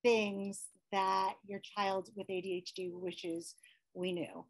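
A woman attending a webinar, asking the presenter quick, polite questions over a video call. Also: medium pitch, level tone. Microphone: computer mic on a video call.

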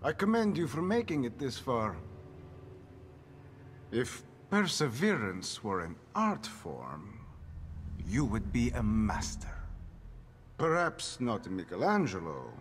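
A man's voice: medium pitch, sing-song, slow pace, long pauses, a little hiss or hum. An actor playing a vain, sadistic, refined artist villain in a video game, with a theatrical, pompous way of savoring his words. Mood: mocking praise. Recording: music behind the voice.

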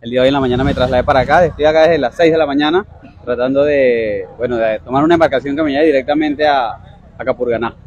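A man's voice: mid-range voice, fast, darkish tone, highs cut off. Hesitant young Venezuelan man outdoors. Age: young adult. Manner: hesitant, many fillers and restarts, polite. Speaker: interviewee, migrant. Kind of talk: street interview answers. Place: outdoors at a crowded dock. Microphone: reporter's handheld mic.

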